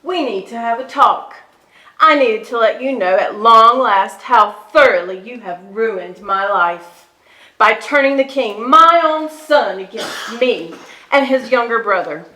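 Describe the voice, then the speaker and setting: Female actor for bitter pagan queen mother, stage drama: imperious, cutting bright voice, scornful delivery, roomy acoustic. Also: on the high side, lively intonation, unhurried. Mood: scornful fury. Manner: haughty, mocking, declamatory. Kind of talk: theatrical stage reading. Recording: echoey stage hall.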